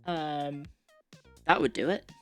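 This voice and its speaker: A young woman from Ireland with a mixed Irish, English and Australian-tinged accent, chatting casually on a live stream, reading aloud with wry asides and quick self-corrections. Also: low-pitched, steady tone, soft background music.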